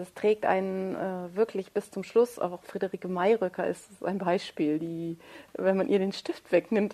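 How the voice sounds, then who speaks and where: A woman's voice: medium pitch, dark tone. A woman speaking as an interviewed author in a thoughtful conversation, searching for her phrasing and correcting herself.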